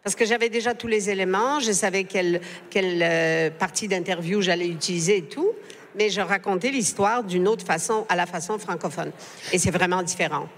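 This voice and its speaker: A woman's voice: fairly low pitch, fairly quick. An older woman, a journalist whose first language is not French, speaking on a panel at a book fair, reflective and full of fillers. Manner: reflective, many fillers and restarts. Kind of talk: panel discussion. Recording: echoey hall.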